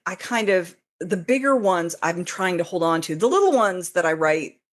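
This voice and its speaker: A woman who writes fiction, chatting casually as a guest and telling stories, animated when quoting her own reactions. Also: lowish voice, moderate pace.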